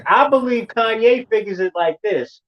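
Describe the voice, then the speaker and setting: High-voiced man in his forties musing. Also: expressive, normal speed, lo-fi sound. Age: 40s. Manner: preachy, repeats phrases for emphasis. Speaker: host. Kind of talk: casual chat.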